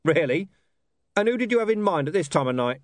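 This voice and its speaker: A stern watch commander, gruff and probing, who keeps pressing and won't let go, as a man voices him in a storybook reading. His pitch moves around a lot, and the sound is close and dry. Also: expressive, a little fast, dry recording, natural voice.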